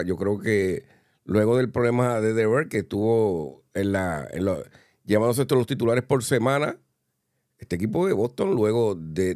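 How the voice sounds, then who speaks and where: A man hosting a sports radio show, asking long, drawn-out questions. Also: lowish voice, a little mellow, no echo, clean studio sound.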